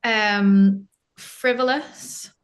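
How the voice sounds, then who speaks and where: A woman's voice: medium pitch, steady tone, drawn-out words, poor audio. A young woman, a band's singer, chatting casually as an interview guest, with lots of fillers and frequent laughter.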